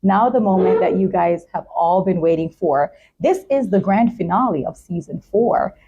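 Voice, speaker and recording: A woman's voice: on the low side, moderate pace, narrow, tinny audio, a little hiss or hum. A woman talk show host with an effusive, polite presenting manner, speaking in announcements and interview chat.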